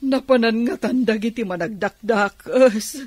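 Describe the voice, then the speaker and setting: An actress playing a distressed, emotional older aunt in a radio drama, with an Ilocano accent. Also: female voice, fairly high pitch, measured pace, a little mellow, breathy edge, no echo. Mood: shaken. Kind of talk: Filipino radio drama acting.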